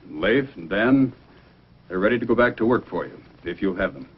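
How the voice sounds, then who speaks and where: A man's voice: on the low side, expressive, fairly quick, husky. A man playing a firm, fair Mountie sergeant in an old adventure series, speaking with clipped authority. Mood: calm, official. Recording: dull vintage broadcast recording, music behind.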